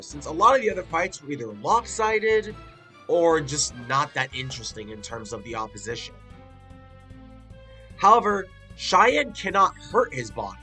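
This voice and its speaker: A man with a stuffy, congested nose talks through a casual review, chatty and loose with his filler words. His voice sits a little high and comes out bright and forward. Soft music plays under him. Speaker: video reviewer.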